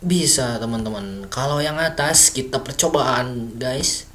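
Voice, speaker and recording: A man's voice: mid-range voice, measured pace, a bit bright, slight background noise. A man teaching basic coding in a casual screen-recorded lesson, thinking aloud with many fillers and self-corrections. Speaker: self-taught coding tutor.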